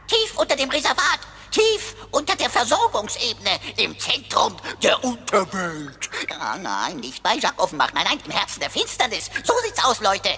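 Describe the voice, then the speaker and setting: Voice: mid-range voice, big pitch swings, moderate pace, hardly any pauses, pushed and bright. A woman playing a cheeky, verbose robot companion, pompous and sarcastic, in a sci-fi radio play. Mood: sardonic. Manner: pompous quoting, sarcastic, refers to itself in third person. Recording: reverberant radio play with faint music.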